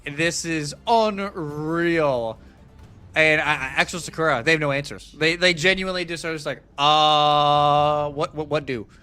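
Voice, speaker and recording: A man with a bright, fairly high voice commentates a live esports match. He breaks down the game conversationally, in a casual and halting flow. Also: steady tone, smooth.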